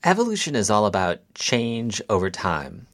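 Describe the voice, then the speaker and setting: A man who is a scientist guest, calmly and patiently explaining an idea to children with everyday examples. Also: fairly low pitch, normal speed.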